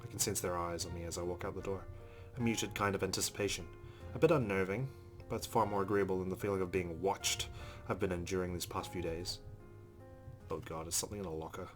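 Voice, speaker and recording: A man's voice: sits low, steady tone, quick pace, faint music behind. A man reading a visual novel aloud as dramatic narration for a let's-play.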